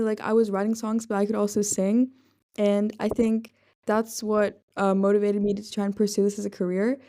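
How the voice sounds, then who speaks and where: A woman's voice: mid-range voice, dark tone. A young woman in her late teens, raised in the Netherlands, answering interview questions shyly and hesitantly over her laptop mic. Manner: shy, hesitant, lots of ums. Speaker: guest singer-songwriter. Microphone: laptop built-in mic.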